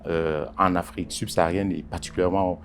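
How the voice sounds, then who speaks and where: A man, a journalist, answering interview questions in a halting way with many pauses and repeated words. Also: low voice, fairly even intonation, slight background noise. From Congo Basin. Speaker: journalist and editorial writer.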